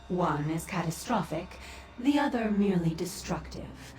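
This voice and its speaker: Cold haughty sorceress from a fantasy game, kinda low voice, very formal n measured, echoey. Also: female voice, animated, a little mellow. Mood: composed, imperious. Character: cold, composed otherworldly sorceress mentor, secretly treacherous. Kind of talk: video game character dialogue. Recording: echoing voice over background music.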